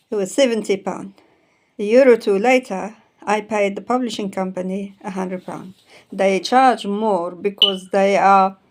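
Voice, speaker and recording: A woman in her fifties whose first language is Arabic talks through publishing and copyright in a loose, chatty way. She circles back with "so therefore" and stops to fix words halfway through. Her pitch sits mid-range for a woman. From Arabic-speaking country. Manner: rambling, repeats "so therefore", self-corrects words. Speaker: self-published author. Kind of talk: casual explanatory talk, showing books.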